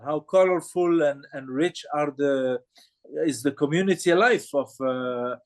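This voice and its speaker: A man from Morocco interviewing filmmakers, speaking haltingly with many fillers and restarts. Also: fairly high pitch.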